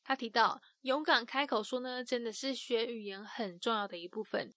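Encouraging woman narrator, higher voice. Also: normal speed, bright, forceful tone, dry recording. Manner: friendly, encouraging. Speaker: podcast host explaining the clip. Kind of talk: scripted narration.

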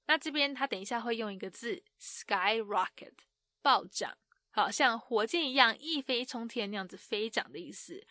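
A woman narrating and explaining the interview clips in a clear teaching tone. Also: a little high, lively intonation, normal speed, pushed and bright, dry recording. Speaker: program narrator.

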